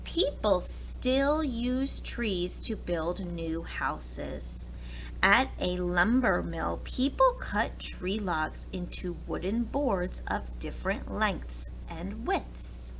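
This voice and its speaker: Female children's story reader, expressive teacher delivery, very unhurried, faint noise behind. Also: medium pitch, gravelly, low-quality recording. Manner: expressive, talks directly to young children. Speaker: preschool teacher reading aloud. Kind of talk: children's picture book read-aloud with asides.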